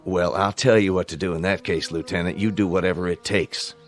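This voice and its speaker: An actor playing a commanding army captain in a radio drama, authoritative, clipped and sardonic. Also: male voice, low-pitched, fairly even intonation, fairly quick, natural voice. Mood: stern. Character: stern, exasperated, commanding male National Guard captain. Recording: music bed under radio drama dialogue.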